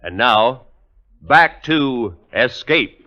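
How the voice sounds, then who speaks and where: A male 1950s radio announcer reads in a formal, scripted style. His mid-pitched voice comes through a dull, aged broadcast recording. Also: expressive, drawn-out words, heavy background noise. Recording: muffled vintage radio recording.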